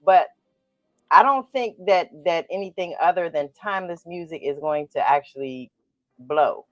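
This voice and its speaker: A woman's voice: fairly low pitch, moderate pace, a bit bright, no echo, highs cut off, soft background music. A woman hosting a radio interview, asking questions with emphatic enthusiasm. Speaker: radio show host.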